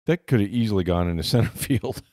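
A man's voice: on the low side, expressive, quick pace, mellow, dry recording, clean studio sound. A man hosting a sports podcast, chatting casually about games and players. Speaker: sports podcast host and football reporter.

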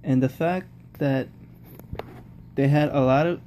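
A man's voice: medium pitch, steady tone, takes it slowly, dark tone, slight background noise. A man giving casual, rambling post-game football commentary, often repeating himself and restarting sentences. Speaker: football vlogger.

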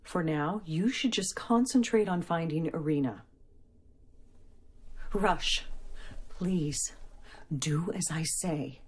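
A woman's voice: low-pitched, slow, pauses often, slightly rough, exaggerated voice. A woman acting an anguished, devoted middle-aged mother in a game cutscene, earnest and sorrowful. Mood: tender, solemn. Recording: background music under the voice.

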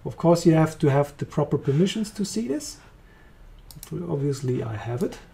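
A man with a German accent walking through a software demo, talking casually as he clicks along. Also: on the high side, normal speed, gravelly, a little hiss or hum. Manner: relaxed, thinking aloud while clicking. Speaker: software trainer. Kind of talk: screen-recorded software demo.

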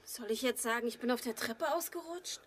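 An actress playing a weary, sardonic wife in a German audio drama, terse and dry. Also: female voice, fairly high pitch, a little fast, breathy edge.

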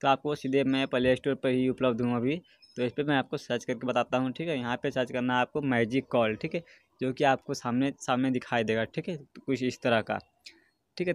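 A man's voice: mid-range voice, dry recording. A man presenting a casual step-by-step tutorial as host, often adding a short check-in tag. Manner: repeatedly checks in with a tag phrase.

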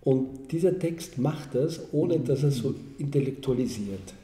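Middle-aged guy on a panel, academic, thinks out loud with ums, very dark mellow voice, roomy echo, faint hiss. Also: mid-range voice, animated, unhurried. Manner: thoughtful, academic, searching for words with fillers. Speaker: panel guest, sociologist. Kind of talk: discussion at a public panel. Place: small club room indoors, seated at a panel table. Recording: roomy sound with faint noise.

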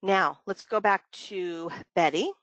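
A woman leading a training webinar, talking through software steps conversationally, thinking aloud and repeating phrases for emphasis. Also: mid-range voice, takes it slowly, no echo. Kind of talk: conversational step-by-step software walkthrough. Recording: dull, lo-fi dry webinar audio.

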